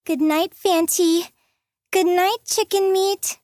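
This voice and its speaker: Timid, very high-pitched young girl. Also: fairly even intonation, slow, darkish tone, no room sound, studio quality. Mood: uneasy, gentle. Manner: hesitant, stammering, pleading. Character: timid, proper, soft-hearted young girl servant. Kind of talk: video game voice acting.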